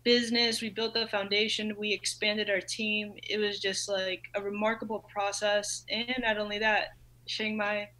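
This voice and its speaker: A woman's voice: mid-range voice, little pitch movement, normal speed, pushed and bright, rough recording. A woman of thirty telling a story casually and enthusiastically as a podcast guest, in very informal speech. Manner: informal, enthusiastic, quotes own past thoughts. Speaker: podcast guest, business owner.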